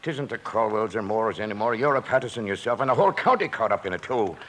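An actor playing a feisty, fretful old gunsmith with an Irish brogue in a 1950s radio western. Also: male voice, fairly low pitch, lively intonation, quick pace, a bit bright. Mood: pleading, urgent. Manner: scolding, pleading. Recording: faintly noisy old radio recording.

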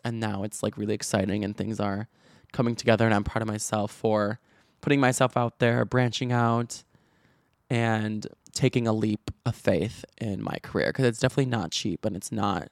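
Man, podcast host, stutter, gravelly, chatty gossip, dry close sound. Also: lowish voice, no room sound. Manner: chatty, gossipy storytelling with many likes and restarts. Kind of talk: casual chat.

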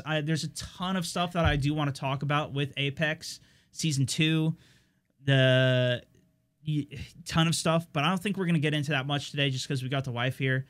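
A man's voice: medium pitch, a bit bright. A young man hosting his stream, chatting casually with viewers in a rambling way full of fillers and restarts.

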